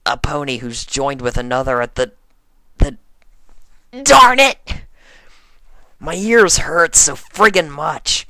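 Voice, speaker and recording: A man voicing a brash young female pegasus pony, proud but secretly soft, grumbling slangily in a fan fiction reading. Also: mid-range voice, dry recording, voice pushed up. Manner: slangy, exasperated grumbling to herself. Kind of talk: first-person dramatic fan fiction reading. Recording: dry close voice over background music.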